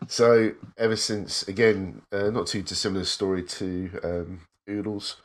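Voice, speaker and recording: Deep voiced guy on a podcast, chill, thinks before he talks. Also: fairly even intonation. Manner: thoughtful, measured storytelling. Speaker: podcast co-host. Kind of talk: casual chat.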